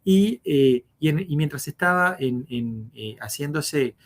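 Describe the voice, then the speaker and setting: A man tells his life story as a podcast guest, pausing often on fillers and restarting his sentences. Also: on the high side, lively intonation. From Uruguay. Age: 50s. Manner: hesitant, many fillers and restarts.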